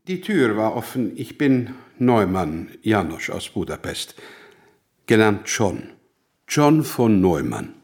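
Husky, self-important genius mathematician. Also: male voice, fairly low pitch, very animated, full character voice. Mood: self-assured, measured. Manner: pompous, wry, deliberate. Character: brilliant, self-important, melancholic Hungarian mathematician, urgent. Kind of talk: radio drama acting.

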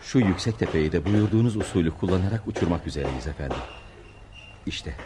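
A man's voice: low voice, lively intonation, fast. A man playing a respectful, dutiful dervish in a Turkish radio play. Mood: deferential. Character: respectful, dutiful dervish builder reporting to his master. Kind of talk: Turkish radio theater acting. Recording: clear background noise.

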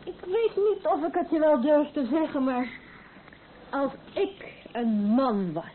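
A practical, affectionate wife with a fierce loyal streak. The voice sits fairly high and moves in lively swings. The old radio play recording is narrow and tinny. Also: female voice, measured pace, slight background noise. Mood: indignant. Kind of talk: naturalistic Dutch radio play dialogue.

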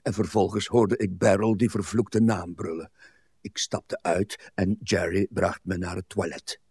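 Grumpy weary old husband in a radio play, super husky breathy voice, dry close sound. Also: male voice, deep voice, level tone, a little mellow, no room sound, heavily acted. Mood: agitated, indifferent. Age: older. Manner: dour, deliberate storytelling with hesitations. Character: grumbling, self-absorbed, weary old husband. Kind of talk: literary radio drama acting.

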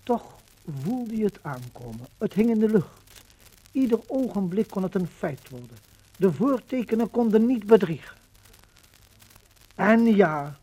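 High-pitched formal radio chronicler. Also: male voice. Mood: rising anticipation. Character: earnest chronicler of liberation events. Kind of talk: 1950s Dutch radio docudrama narration. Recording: noisy 1950 radio recording.

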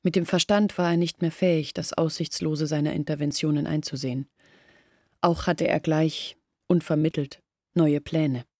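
A woman's voice: low voice, fairly even intonation, normal speed, no echo, clean studio sound. An actress playing a young village woman, weary and bitter, earnestly recounting her family's disgrace in a radio play. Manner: quiet, earnest storytelling.

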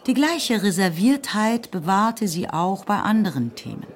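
A woman narrating a literary radio drama in a calm, even, precise way. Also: mid-range voice, unhurried. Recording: music under the narration.

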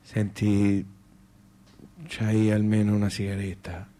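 Low-voiced, hesitant Tuscan man. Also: monotone, slow, mellow. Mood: weary reproach. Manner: hesitant, repetitive, plaintive. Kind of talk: naturalistic radio theatre acting.

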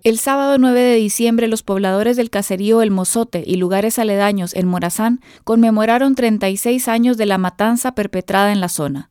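A woman presenting a scripted radio news item with a steady, clear delivery. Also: mid-range voice, a little fast, hardly any pauses, no room sound, soft background music. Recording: dry close studio sound.

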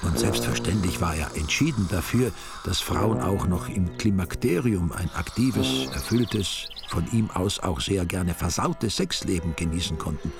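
A wry Austrian storyteller narrates a radio drama in a lowish, creaky voice. His delivery is deadpan and ironic. Music plays clearly underneath. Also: measured pace, few pauses, exaggerated voice.

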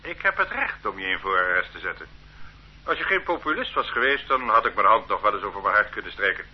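An actor playing a stern, condescending police officer who lectures a detainee in an authoritarian way in a radio drama. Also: male voice, on the low side, big pitch swings, fast, breathy edge. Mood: lecturing, condescending. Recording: muffled old radio play recording.

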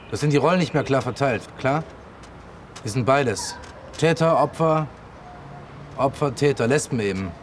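A man's voice: mid-range voice, a little hiss or hum, natural voice. An actor playing a cynical, dismissive man on a surveillance job in a radio drama, blunt and matter-of-fact. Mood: scornful. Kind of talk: naturalistic radio drama acting.

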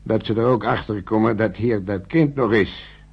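An actor playing a worried, plain-spoken older man in a radio drama, gravelly and husky, with a blunt colloquial way of talking. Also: on the low side, normal speed. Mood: worried, wary. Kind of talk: naturalistic radio play acting. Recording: muffled old radio play recording.

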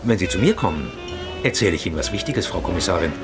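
An actor playing a dogged male science journalist in a radio crime play, asking quick, probing questions. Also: low-pitched, lively intonation. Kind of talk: radio drama acting. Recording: studio radio play with music.

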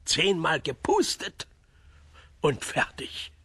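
A man's voice: a little high, huge pitch swings, slow, pushed and bright, slightly rough, no room sound, exaggerated voice. An actor playing a distraught village father in a children's radio play, telling things in a plaintive, dramatic way. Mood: grim, warning.

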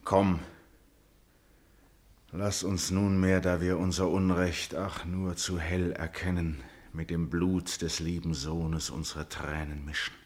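Grieving remorseful king, deepish voice, very slow, solemn declamation, classical radio tragedy. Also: little pitch movement. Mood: mournful resolve. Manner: solemn, declamatory, swelling from hushed to loud. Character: grief-stricken remorseful king, father of a dead son. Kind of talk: classical German radio tragedy acting in verse.

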